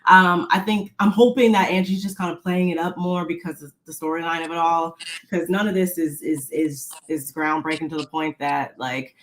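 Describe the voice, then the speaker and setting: A woman's voice: lowish voice, steady tone, moderate pace. A woman co-hosting a podcast, chatting casually and rambling with lots of fillers. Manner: rambling, many fillers and restarts. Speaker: podcast co-host recapping reality TV. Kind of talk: casual chat. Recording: roomy echo.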